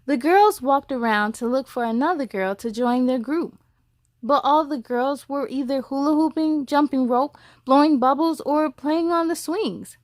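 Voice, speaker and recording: A woman reading a picture book aloud as a story time host, expressive, with chatty asides to young listeners. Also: sits high, normal speed, no echo, clean studio sound.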